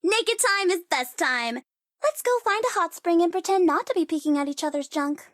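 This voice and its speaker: High-pitched, sassy young sorceress. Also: female voice, expressive, normal speed, a bit bright, dry recording, clean studio sound. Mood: teasing, playful. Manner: sassy, playful, teasing, theatrical. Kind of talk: video game character voice lines.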